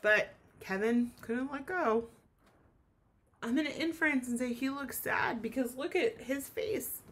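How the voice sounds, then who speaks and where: Female teacher for a kids' read-aloud lesson: high pitch, lively swoops, expressive, explains as she goes. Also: slight background noise. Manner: expressive, asks listeners questions and explains.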